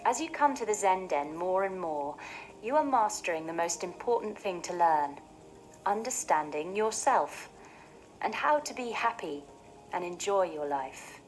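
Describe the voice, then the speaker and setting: A woman hosting a scripted mindfulness session for children, speaking in a soothing, clearly phrased, gently expressive way. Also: lowish voice, measured pace, faint music behind, poor audio. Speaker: host of a children's mindfulness show.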